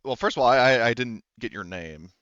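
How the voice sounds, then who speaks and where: A man voicing an anxious, guarded woman defector in a tabletop game, speaking haltingly. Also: medium pitch, lively intonation, a bit bright, clear, resonant tone, no echo, highs cut off, close to an everyday voice. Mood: nervous, wary. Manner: hesitant, halting.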